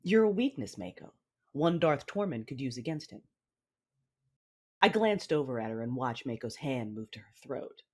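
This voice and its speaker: An actress playing a cold, commanding Sith mother who narrates her own story in a measured, menacing, controlled manner. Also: a bass voice, big pitch swings, husky, exaggerated voice. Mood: calculating, controlled. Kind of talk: first-person audio drama narration with dialogue. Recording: music under the voice.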